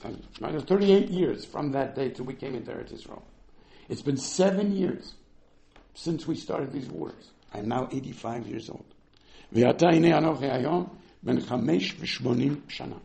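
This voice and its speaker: A man's voice: mid-range voice, vocal fry, mellow. A man teaching a Bible text, reading Hebrew verses aloud and then explaining them with emphatic, dramatic swings in delivery. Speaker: Torah teacher. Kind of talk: text-based Bible lecture.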